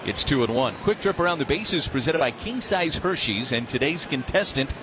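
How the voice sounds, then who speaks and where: A man's voice: on the high side, moderate pace. A man calling live baseball play-by-play as a radio announcer. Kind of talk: live radio sports commentary. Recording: steady ballpark noise behind voice. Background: outdoor stadium ambience.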